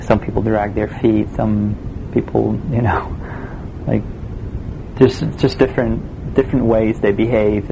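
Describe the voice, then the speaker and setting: A man with a Swiss German accent, a game production designer answering interview questions in a relaxed, hesitant way with many fillers. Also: on the low side, vocal fry, noisy background. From Switzerland.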